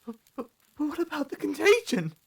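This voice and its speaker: A woman's voice: high voice, very animated, a little fast, vocal fry, heavily acted. A woman acting a timid, anxious young character with a nervous stammer in an audio drama. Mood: frightened.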